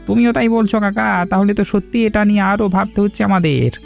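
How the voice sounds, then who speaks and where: A man doing a cheerful village fisherman in a cartoon, high gravelly voice, quick and hearty, friendly to everyone. He sounds like an easygoing family man. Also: darkish tone, faint music behind. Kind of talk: animated cartoon dialogue.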